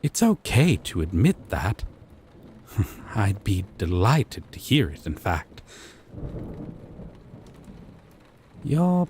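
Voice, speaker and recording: A man acting a slow, low-voiced, possessive lover. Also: slight background noise. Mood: seductive, coaxing. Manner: slow, lingering, coaxing, softly teasing. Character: gentle, obsessive male yandere dating-sim character, adult, tender, possessive. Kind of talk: intimate scripted audio roleplay.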